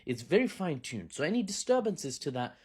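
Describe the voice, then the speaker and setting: A man hosting a casual political commentary, animated and joking with sarcastic asides. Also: on the high side, fairly quick, husky. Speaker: host.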